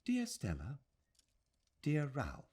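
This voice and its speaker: A man voicing a kindly, tired elderly English lady with a gentle, polite manner, in an audiobook. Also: medium pitch, wildly swooping pitch, slow, slightly rough, voice pushed up, full character voice. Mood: weary, fond.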